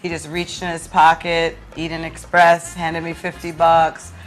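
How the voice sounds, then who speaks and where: A woman's voice: deep voice, monotone, measured pace, soft background music, low-quality recording. A woman talking conversationally as a guest in an interview. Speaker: guest, play producer.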